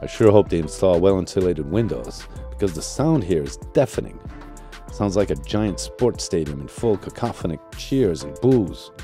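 A man narrating his sailing vlog in a calm, reflective voice-over. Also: low-pitched, moderate pace, soft background music.

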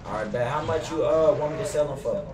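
A man's voice: on the high side, fast. A young American man chatting casually in slang while streaming a game. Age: young adult. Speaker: game streamer roleplaying in a crime game. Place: room at home, indoors. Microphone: gaming headset. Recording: noisy, echoey, slightly distant game stream. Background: game car and ambience noise.

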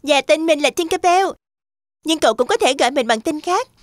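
Woman doing a tiny friendly fairy, sweet chatty, really high voice, cartoon. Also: animated, brisk, dry recording, clean studio sound. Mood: friendly, eager.

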